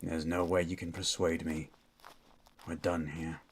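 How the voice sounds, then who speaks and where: A man playing a stern, menacing monster hunter in an ASMR roleplay, with a low, gruff voice and a terse, threatening manner. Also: flat delivery, measured pace, slight background noise. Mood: cold, confident. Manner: terse, threatening, grunts hmm.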